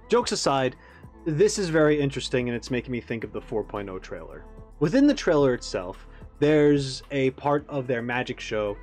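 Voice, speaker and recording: A man giving a casual, animated reaction commentary, thinking aloud as he analyzes like a game fan. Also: mid-range voice.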